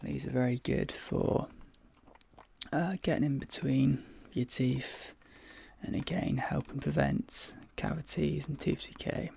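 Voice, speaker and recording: A man speaking softly and breathily in an ASMR dentist role play, hesitant and often slipping into whispers. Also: mid-range voice, steady tone. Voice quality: breathy, soft. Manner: hesitant, halting, drifting into whispers.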